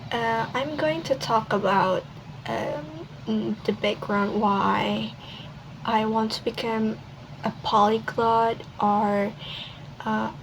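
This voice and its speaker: A young woman from Indonesia, a university student with an Indonesian accent, presenting casually in a second language with many pauses and restarts. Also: fairly high pitch, gravelly, low-quality recording. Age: young adult. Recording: steady background noise.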